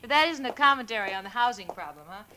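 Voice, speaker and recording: A chatty, coaxing young wife from a 1940s radio drama. Her voice is high, bright and very lively in pitch. A faint noise sits behind it. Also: fast, poor audio. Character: cheerful, fussy young wife setting up home.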